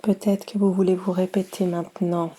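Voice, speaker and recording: A woman guiding a yoga nidra meditation in a soft, soothing, unhurried manner with long pauses. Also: lowish voice, little pitch movement, breathy edge. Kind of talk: guided meditation.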